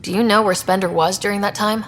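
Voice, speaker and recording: An actress playing a brisk female expedition leader in a sci-fi game. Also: medium pitch, steady tone, a little hiss or hum, lightly acted.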